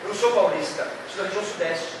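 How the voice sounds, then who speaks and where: A man from Brazil with a São Paulo accent, giving a lively, theatrical literature lecture and addressing students directly. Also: sits high, fast. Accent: São Paulo state Brazilian Portuguese. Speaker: literature teacher. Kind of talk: animated exam-prep lecture. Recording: echoey hall, far from mic, noisy.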